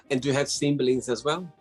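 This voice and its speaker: Husky-voiced friendly male host. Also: mid-range voice, steady tone, normal speed, soft background music. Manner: friendly, encouraging, laughs often. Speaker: interview host. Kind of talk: casual interview host.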